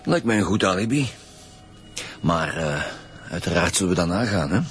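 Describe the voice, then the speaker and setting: A man's voice: sits low, expressive, moderate pace. An actor playing a dry, probing male police detective in a radio drama, speaking matter-of-factly. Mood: formal, probing. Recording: faint music behind.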